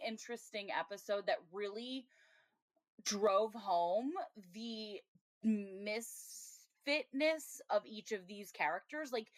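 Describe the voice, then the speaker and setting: A woman's voice: mid-range voice, no room sound. A woman co-hosting a podcast, chatting casually about TV episodes, laughing often and acting out her own reactions. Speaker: podcast co-host. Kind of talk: casual TV review chat.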